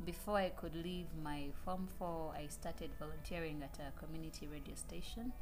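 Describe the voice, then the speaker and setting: A Ugandan woman, a news anchor, chatting as a podcast guest in a lively way and laughing often. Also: low voice, normal speed, music in the background. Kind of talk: casual interview chat.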